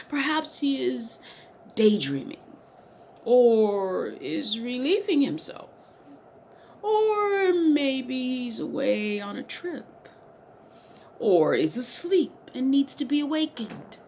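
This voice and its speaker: A woman acts out a bold prophet in a dramatic scripture reading, calling out loudly in a raised pitch. The delivery swoops widely, commanding and fervent, with noise in the background. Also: sing-song, slow, exaggerated voice. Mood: mocking, scornful. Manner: loud, declamatory, theatrical calling out.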